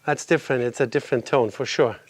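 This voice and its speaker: A man's voice: mid-range voice, fast talker, very noisy. Congested middle-aged man in a garage. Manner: relaxed, explains while working on a motorcycle. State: congested from a cold. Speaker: garage video host. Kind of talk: casual workshop walkthrough. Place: home garage.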